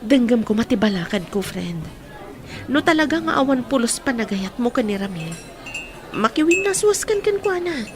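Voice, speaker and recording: An actress with a Filipino accent playing a frank, concerned young best friend in a radio drama. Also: female voice, a little high, sing-song, normal speed, crowd noise.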